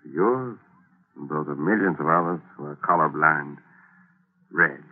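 An actor playing an obsessed scientist speaking in an earnest, intense way in a 1940s radio drama. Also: male voice, a bass voice, measured pace, full character voice. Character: obsessed middle-aged male scientist. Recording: tinny old radio broadcast.

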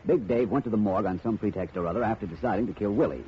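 An American actor playing a shrewd, unflappable private detective in a 1950 radio mystery, measured, wry and self-assured. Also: male voice, fairly low pitch, lightly acted. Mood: explaining, satisfied. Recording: noisy, dull vintage radio recording.

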